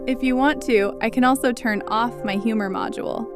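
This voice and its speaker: Highish woman as female android companion, compliant, supportive, composed, sci-fi audio play, clear music bed. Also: close to an everyday voice. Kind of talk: audio drama acting.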